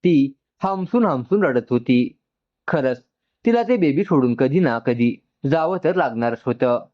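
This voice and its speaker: A man's voice: mid-range voice, expressive, measured pace, highs cut off. A man narrating an emotional story from a script.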